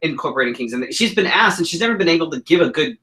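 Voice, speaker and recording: A man's voice: a little high, lively intonation, rapid-fire, pushed and bright. A man co-hosting a talk show, speaking bluntly and indignantly with frequent swearing. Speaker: co-host, drag king performer. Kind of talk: heated casual chat.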